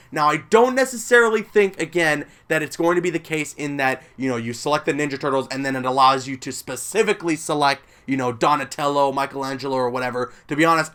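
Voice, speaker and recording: A man's voice: on the high side, a bit bright. A man hosting gaming news commentary in a casual, conversational and enthusiastic way, leaning on key words for emphasis. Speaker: gaming video host.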